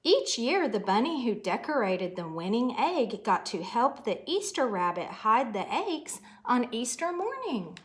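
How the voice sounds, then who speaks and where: A woman's voice: fairly high pitch, big pitch swings, measured pace, hardly any pauses. A woman storyteller reading a picture book aloud expressively to children, adding asides about the pictures.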